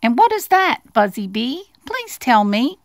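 A woman voicing a curious, wonder-struck little boy mouse in a storybook, squeaky and eager. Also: sing-song, a little slow, creaky, dry recording, clean studio sound, exaggerated voice. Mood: eager, awed. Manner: eager, wide-eyed questioning. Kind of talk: storybook character voice.

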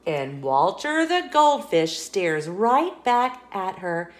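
A woman reading a children's picture book aloud in a playful, expressive storytelling voice, indoors. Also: medium pitch, slow. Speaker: storybook reader. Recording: roomy echo.

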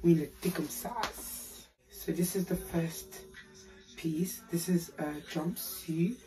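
Woman with a deep, raspy voice. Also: slow, warm tone, faint music behind. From United Kingdom. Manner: chatty and playful, slangy. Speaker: haul vlogger. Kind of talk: casual chat to camera. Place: small bedroom, indoors.

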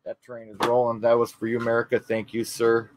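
A man's voice: medium pitch, monotone, fairly quick, a little hiss or hum. A man hosting a casual live auction in a folksy, courteous way, thanking people like buddies. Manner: folksy, courteous, calls bidders buddy and brother. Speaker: auction host showing coins.

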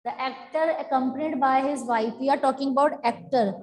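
A high-pitched woman with an Indian English accent teaches grammar, repeating each point and asking her class whether it is clear. Her voice carries a roomy echo from the classroom, picked up by a lapel mic. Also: fairly even intonation, brisk. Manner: repetitive, checks in with students often. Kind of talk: online grammar lesson at a chalkboard. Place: classroom in front of a chalkboard.

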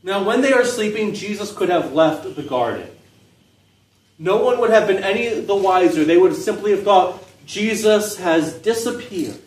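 A middle-aged man preaching a sermon in an open-air park pavilion, with measured delivery and phrases that trail off softly at the ends. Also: sits high, lively intonation, recorded from a distance. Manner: measured preaching with falling, soft phrase endings. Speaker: pastor. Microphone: stand mic, filmed from a distance. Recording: echoey pavilion, voice from off-mic.

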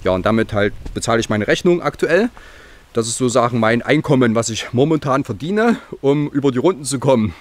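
A man's voice: mid-range voice, lively intonation, brisk. A man in his forties with a slight cold, chatting casually and rambling cheerfully to a handheld camera outdoors on a rural yard. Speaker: vlogger. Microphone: handheld camera at arm's length.

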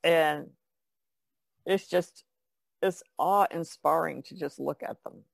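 Very gravelly woman in casual conversation. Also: low-pitched, slow pace, dry recording, clean studio sound. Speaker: podcast co-host.

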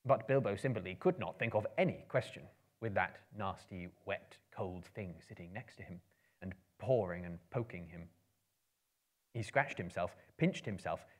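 A man in his thirties reading a story aloud dramatically, in a theatrical, expressive storyteller's manner. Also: sits low, moderate pace. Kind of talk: dramatic book reading to a live audience. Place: indoor room with bookshelves, small live audience.

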